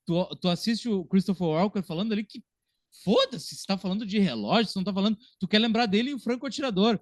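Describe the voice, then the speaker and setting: A man's voice: high-pitched, expressive, fast, no room sound, studio quality. A man with a southern Brazilian accent in a casual film discussion as a podcast panelist, talking in an informal, slangy way.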